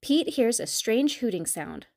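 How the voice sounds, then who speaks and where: A woman reading a storybook aloud to young children in an expressive, deliberate storytelling manner. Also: fairly high pitch, no echo, clean studio sound. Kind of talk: scripted read-aloud narration for young children.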